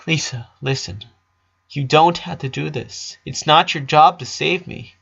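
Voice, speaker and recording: A man's voice: on the high side, unhurried, gravelly, fairly bright tone, husky, highs cut off, pitched above the performer's own voice, exaggerated voice. A man voicing an eleven-year-old boy, a caring big brother who speaks plainly and earnestly in a story. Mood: earnest, reassuring. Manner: earnest, reassuring, plain-spoken.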